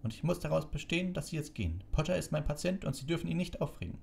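A man voicing a brisk, indignant, middle-aged female hospital matron in a storybook reading, bossy and scolding. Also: fairly high pitch, mellow, pitched above the performer's own voice, natural voice.

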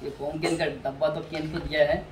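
Higher-voiced man, roomy distant recording. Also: little pitch movement, fairly quick. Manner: informal, hands-on, repeating himself while handling items. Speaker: product reviewer. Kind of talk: casual unboxing demonstration. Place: outdoor porch by house steps. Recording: roomy, distant mic, clearly noisy, clicks.

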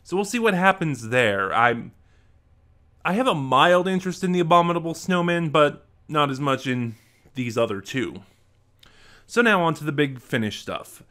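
A man's voice: a little high, lively intonation, normal speed, pushed and bright. A young adult man hosting a casual vlog, talking chattily and conversationally into a desk microphone in a room at home. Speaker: vlog host. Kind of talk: casual unboxing and review vlog talk. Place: small home room, indoors. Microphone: desk microphone close in front.

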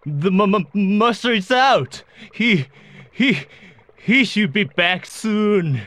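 A halting, high-voiced cowardly guard. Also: male voice, lively intonation, fairly bright tone, full character voice. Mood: terrified. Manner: stammering, halting. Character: cowardly, stammering male guard of a minister. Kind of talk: video game cutscene acting.

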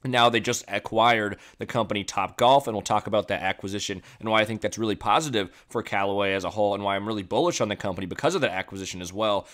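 A man with a stuffy nose talking through financial charts in a casual commentary, quick and loose, with fillers and self-corrections. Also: on the low side, fairly bright tone. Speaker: stock analysis YouTuber. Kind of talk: casual screen-share commentary.

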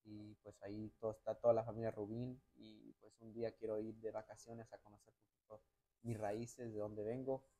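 A young man from the United States, a footballer answering interview questions in a hotel lounge, halting and restarting often and slipping English words into his replies. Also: on the low side, flat delivery, dark tone, low-quality recording. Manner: halting, many restarts and "me entiendes" tags, mixes in English words. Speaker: national team footballer, interview guest. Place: hotel lounge sofa, indoors.

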